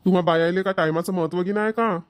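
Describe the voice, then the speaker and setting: An impatient village farm boss in a cartoon comedy, played by a man, scolding and urgent. The voice is raised high and loud for a man, with a Varhadi Marathi accent. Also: fairly even intonation, fast talker, no echo, natural voice.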